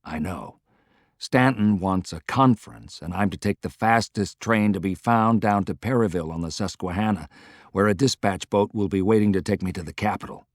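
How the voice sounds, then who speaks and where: A man voicing a quiet, decisive general in an audiobook, clipped, understated and commanding. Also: fairly low pitch, normal speed, no echo, studio quality, natural voice. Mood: brisk, commanding. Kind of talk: audiobook character dialogue.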